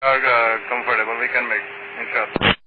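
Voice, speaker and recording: A man's voice: mid-range voice, flat delivery, brisk. A man speaking as an airline pilot in hesitant, procedural flight communication. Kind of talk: radio and cockpit communication. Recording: tinny phone-like radio, clear hiss.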